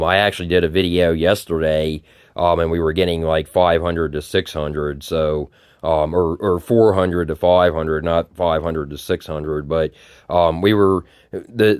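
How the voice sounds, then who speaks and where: A man, a bit raspy from just waking up, rambling through casual commentary with lots of fillers and repeated words. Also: deep voice, no room sound, clean studio sound. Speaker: tech vlogger. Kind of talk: casual screen-recorded commentary.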